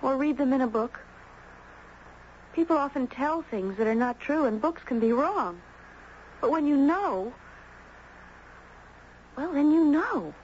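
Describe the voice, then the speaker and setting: A woman's voice: high-pitched, animated, measured pace, pauses often, darkish tone. A woman playing a precocious, insistent young girl who speaks earnestly in a 1970s radio drama. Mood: insistent, knowing. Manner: earnest, emphatic. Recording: dull noisy 1970s radio broadcast.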